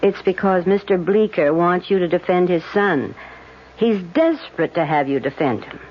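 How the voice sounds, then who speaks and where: A lively secretary acted by a woman in an old radio play, teasing but caring. Her pitch swings widely, and the recording is muffled with some background noise. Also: normal speed, natural voice. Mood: concerned. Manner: teasing, expressive. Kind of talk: vintage radio drama acting.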